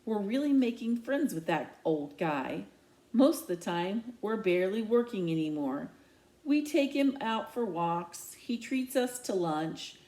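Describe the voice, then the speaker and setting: A woman reading a children's novel aloud in the first person, with expressive, emphatic storytelling. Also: medium pitch, measured pace. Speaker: read-aloud reader. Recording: echoey, roomy home recording.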